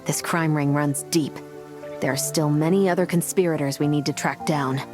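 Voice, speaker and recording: A woman's voice: low voice, fairly even intonation, moderate pace, natural voice. An actress playing a determined, businesslike female crime hunter in a video game, with a firm, purposeful delivery. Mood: resolute. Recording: music behind.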